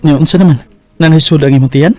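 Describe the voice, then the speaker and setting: A man's voice: fairly high pitch, fast, a little mellow, faint music behind, natural voice. A man voicing an earnest, jokey young husband in an amateur radio drama. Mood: tender. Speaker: voice actor.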